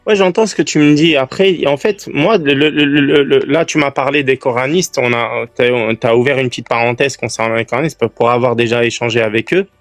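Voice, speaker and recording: A man who is a guest in a calm live-stream debate, speaking earnestly and in a friendly way while he searches for words. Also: mid-range voice, a little fast, few pauses, no room sound. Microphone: remote live-stream call.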